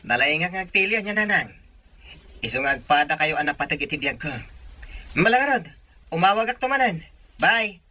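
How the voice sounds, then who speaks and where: Man acting a bright, forceful young boyfriend. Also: fairly high pitch, lively intonation, moderate pace, pauses often, a little hiss or hum. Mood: earnest. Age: young adult. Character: devoted young boyfriend and son working away. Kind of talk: dramatic radio-drama dialogue.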